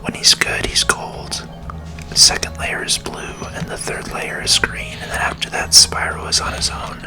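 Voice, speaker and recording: A person softly whispering ASMR video game commentary in a soothing, unhurried manner. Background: game music.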